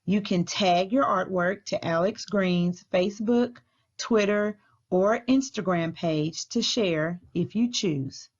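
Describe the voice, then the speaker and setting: Slow-paced woman librarian, dull lo-fi sound. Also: medium pitch. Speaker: school librarian reading aloud to children. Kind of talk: storybook read-aloud and activity instructions.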